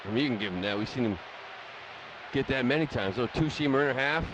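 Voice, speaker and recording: A man's voice: medium pitch, fast talker, highs cut off, noisy background. An American man commentating casually on a baseball game for live radio. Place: stadium broadcast booth. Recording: live radio booth with loud stadium ambience.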